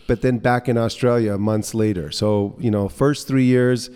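Man, middle-aged, mellow tone, informal anecdotal interview talk, echoing room. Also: mid-range voice, fairly even intonation, moderate pace, clear, resonant tone. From Guatemala, England and mostly New Orleans, USA. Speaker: interview guest, social entrepreneur. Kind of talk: conversational personal storytelling. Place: indoor co-working space. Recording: reverberant room with long echo.

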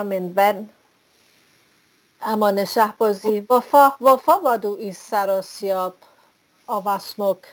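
Woman, poetry reader, very slow and solemn, dry sound. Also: mid-range voice, no room sound, poor audio. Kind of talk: poetry reading.

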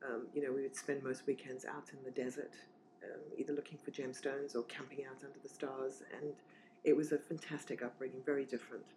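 A woman's voice: rumbling low, little pitch movement, brisk, darkish tone, a little hiss or hum, rough recording. A woman in her forties from southern Africa, talking casually and reflectively as an interview guest, with plenty of fillers and restarts. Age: 40s.

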